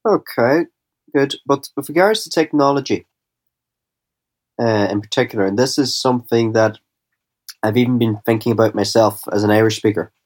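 A man hosting an interview asks careful, probing questions. He builds each question in a measured way before handing the floor back. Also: mid-range voice.

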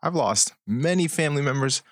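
A man's voice: fairly high pitch, animated, a little fast, no room sound, clean studio sound. A young man from Colombia in a casual group chat, talking emphatically in slang and repeating phrases.

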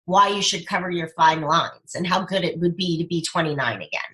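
A woman's voice: lowish voice, fairly quick. Emphatic fifties woman speaker. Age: 50s. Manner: emphatic, persuasive, animated. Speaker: guest, speaker and brand coach. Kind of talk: podcast interview conversation.

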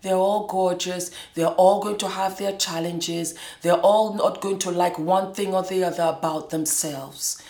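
Woman host from Scotland, casual monologue, lowish voice, roomy echo. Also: a little slow, few pauses. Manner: animated, laughs often.

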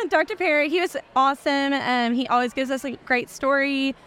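High-voiced gushing teacher woman. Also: fairly even intonation, normal speed, fairly bright tone. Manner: gushing, enthusiastic. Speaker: teacher, guest. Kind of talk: casual interview. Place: outdoor event fair.

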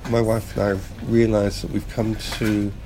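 A man's voice: fairly low pitch, moderate pace. A middle-aged man answering casually in an interview, hesitant and thinking aloud as he goes. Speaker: podcast producer, interview guest. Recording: clear steady ambient background noise.

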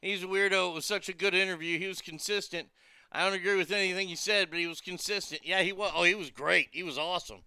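A man's voice: high voice, fairly even intonation, fast talker, pushed and bright, smooth, dry recording. A man hosting a talk radio show, chatting loosely and crudely and reading out listener messages. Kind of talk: casual talk radio banter.

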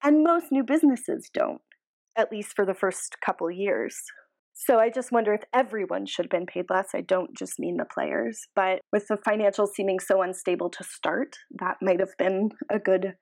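A woman with a mid-pitched voice co-hosts a conversational podcast. She explains her points in a measured, analytical way, often softening them with qualifications. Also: gravelly. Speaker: podcast co-host. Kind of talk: casual analytical podcast discussion.

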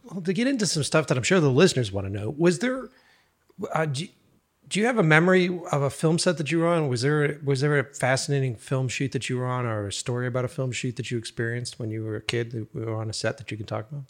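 Quick-talking male podcast host. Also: mid-range voice. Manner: quick, supportive interjections. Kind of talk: interviewing, backchanneling.

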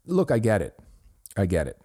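A man's voice: lowish voice, huge pitch swings, normal speed, dark tone. A middle-aged man from Switzerland, a native Swiss German speaker, hosting a podcast and earnestly answering a listener's review, rambling with restarts. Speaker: podcast host.

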